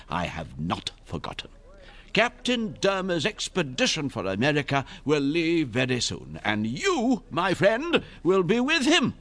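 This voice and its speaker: An actor playing a jovial, fond English gentleman merchant in a radio drama, with an effusive, stammering manner. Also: medium pitch, exaggerated voice. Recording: radio drama with faint music.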